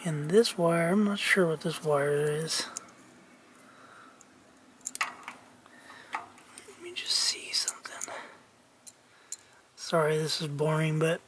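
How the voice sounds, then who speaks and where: A man, casually thinking aloud lots of ums and soft muttering while he tests wiring outdoors. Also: on the high side, lively intonation, slow, long pauses, noisy background. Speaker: vlogger. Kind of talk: casual hands-on narration while testing a tractor's wiring. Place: outdoor yard and garage workshop.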